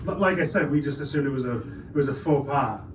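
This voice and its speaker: A man's voice: mid-range voice, brisk, close to an everyday voice. A man playing a puzzled, polite alien guest aboard a starship in a roleplaying game, speaking hesitantly. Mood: puzzled, politely affronted. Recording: roomy, distant, noisy mic.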